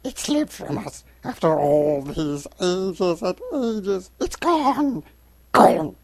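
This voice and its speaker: Paranoid cave creature, raspy hiss, slow drawn-out muttering, cursing and whining, broken grammar, storybook drama. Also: male voice, sits high, sing-song, no echo, voice pushed up, full character voice. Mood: anguished rage. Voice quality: hissing, raspy, creaky. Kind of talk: dramatic audiobook reading.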